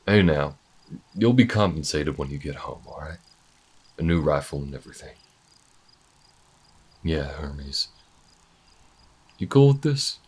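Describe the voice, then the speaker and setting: A man's voice: a bass voice, lively intonation, unhurried, pauses often, breathy edge, exaggerated voice. A man playing an easygoing, good-hearted bounty hunter in a space frontier audio roleplay, talking in a folksy, reassuring drawl. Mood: friendly, reassuring.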